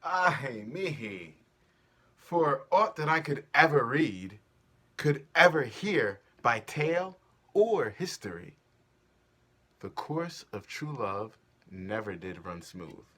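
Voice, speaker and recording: A man's voice: medium pitch, very animated, slow pace, pushed and bright, full character voice. A man playing an ardent, cheeky young lover, wooing dramatically in a Shakespearean stage reading. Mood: tender, wistful.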